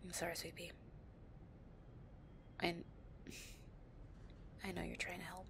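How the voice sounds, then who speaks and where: Low rumbling noise sits behind a deep female voice. It is a woman acting a possessive, anxious delinquent girlfriend in an intimate roleplay audio. She murmurs slowly and breathily, tough at heart but tender with her partner. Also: completely flat delivery, gravelly. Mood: apologetic, weary.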